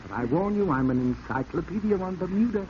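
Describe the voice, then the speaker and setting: An actor playing a jaunty, courtly old professor, talkative and cheerful, in a 1940s radio drama. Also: male voice, sits high, animated, fast talker. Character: chirpy, courtly old male professor, self-styled encyclopedia. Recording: muffled, noisy 1940s radio recording.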